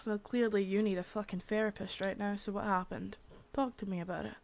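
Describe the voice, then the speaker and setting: A young woman doing an ASMR roleplay as a cold, contemptuous female boss of a drug ring, menacing and swearing, soft-spoken with bits of whisper. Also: medium pitch, steady tone, fairly quick, vocal fry, lightly acted. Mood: cold contempt. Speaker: ASMR creator.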